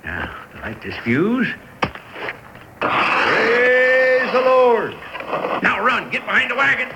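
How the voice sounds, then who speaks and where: A man's voice: very high-pitched, very animated, slow, exaggerated voice. A man playing a scheming, pious-talking swindler in a radio western, bossy and folksy, with a rural Western American accent. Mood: brisk bossiness. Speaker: actor. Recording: noisy vintage radio broadcast.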